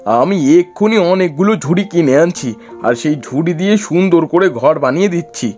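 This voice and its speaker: A man's voice: on the high side, lively intonation, moderate pace, a little mellow, faint music behind, natural voice. A man playing an earnest, eager village husband and small trader in a cartoon story. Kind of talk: Bengali cartoon story voice acting.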